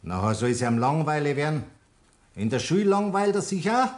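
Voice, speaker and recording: A man's voice: on the high side, very animated, fairly quick. An older man with a Bavarian accent playing a persistent grandfather near retirement in a 1960s German radio play, drawling and coaxing.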